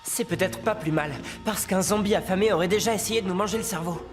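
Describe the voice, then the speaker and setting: An actor plays a plucky teenage boy, the eager leader of a cartoon adventure. The voice is mid-range and decisive, reasoning aloud earnestly with clear music behind. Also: medium pitch, fast talker, lightly acted. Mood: urgent, determined. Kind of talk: cartoon character voice acting.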